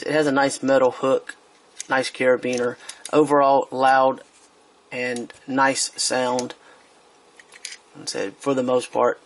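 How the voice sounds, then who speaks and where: A man with a gravelly, slightly husky voice talks through a product review at an everyday pitch. He hesitates often, restarts his sentences and fills the gaps. He sounds casual and unscripted, as if working the thing out on the spot. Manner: hesitant, lots of fillers and restarts.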